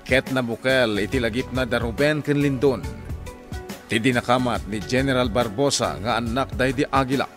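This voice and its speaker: A man narrates a radio drama in a middle-pitched voice. His delivery is scripted and dramatic, with faint music behind him. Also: normal speed, fairly bright tone.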